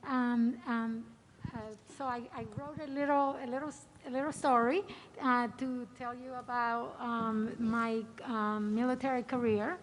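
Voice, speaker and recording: High-voiced hesitant woman. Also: little pitch movement, unhurried, poor audio. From Dominican Republic. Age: middle-aged. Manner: hesitant, many filler sounds. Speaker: retired Coast Guard lieutenant. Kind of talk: reading a prepared personal statement.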